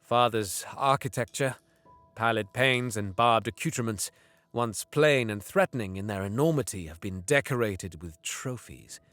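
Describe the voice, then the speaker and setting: An actor playing a bitter young alien prince, narrating an audio drama deliberately and sometimes dropping into hissed whispers. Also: male voice, on the low side, fairly bright tone, dry recording, faint music behind, natural voice. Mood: brooding.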